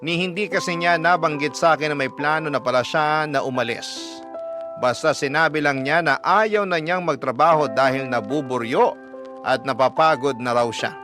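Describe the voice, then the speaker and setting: Confessional male narrator over loud music bed. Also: fairly high pitch, normal speed. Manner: confessional, addressing the host. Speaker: letter narrator. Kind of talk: scripted narration.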